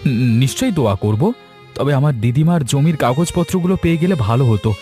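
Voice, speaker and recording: A man's voice: fairly high pitch, animated, fast talker, warm tone, faint music behind, natural voice. A man voicing a wronged young village man in a cartoon, solemn and moralizing. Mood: grave, disappointed. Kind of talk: cartoon voice acting.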